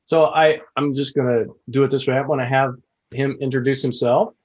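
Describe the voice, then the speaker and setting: A man's voice: medium pitch, fairly even intonation, fairly quick, a little mellow, highs cut off. A man co-hosts a podcast in loose, rambling conversation. He talks with self-deprecating humor and plenty of fillers. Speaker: co-host. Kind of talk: casual podcast chat.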